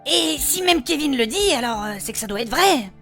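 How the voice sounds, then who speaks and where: Casting: woman as outspoken fantasy heroine, high piercing voice, bossy, flustered, foul-mouthed. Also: expressive, moderate pace, a bit bright, faint music behind. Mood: scolding, concerned. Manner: hesitant fillers mixed with coarse bluntness. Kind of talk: French humorous fantasy audio drama.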